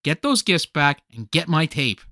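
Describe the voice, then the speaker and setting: An actor playing a young, theatrical, self-centred comic-book fan with a whiny, jokey way of talking in a comedy radio play. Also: male voice, fairly high pitch, big pitch swings, normal speed, pushed and bright, no echo, clean studio sound, heavily acted. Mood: scheming, eager.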